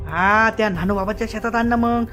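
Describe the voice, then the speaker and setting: A man's voice: high voice, steady tone, quick pace, bright, forceful tone. A cartoon rogue on the run, played by a man, cocky yet easily spooked. He repeats himself, over faint music. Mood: cajoling. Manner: cajoling, boastful, repeats himself. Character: cocky, shifty village fugitive, easily spooked. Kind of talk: cartoon comedy dialogue.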